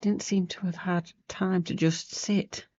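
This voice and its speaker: A woman's voice: lowish voice, fairly even intonation, a little slow, dark tone, highs cut off. A woman with a sniffly cold, chatting casually as she demonstrates a sewing technique, rambling and losing her thread at times. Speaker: crafting channel host. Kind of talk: casual sewing tutorial chat.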